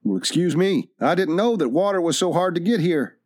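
A male narrator puts on a stubborn wandering stranger from an adventure tale. The man's pitch sits raised and swings about, dry and courteous on top, proud and defiant underneath. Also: lively intonation, fairly quick, a little mellow, lightly acted. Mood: meekly polite. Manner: polite but defiant, dry.

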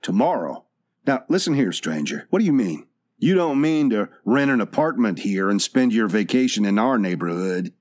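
A man's voice: lowish voice, sing-song, normal speed, a little mellow. A man voicing a hot-tempered, bullying gold prospector in a storybook, slangy and sneering. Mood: sarcastic, hostile.

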